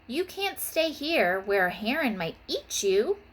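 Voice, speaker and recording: A woman reading a storybook aloud as an excitable young schoolgirl who is devoted to her pet. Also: a little high, unhurried. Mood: pleading.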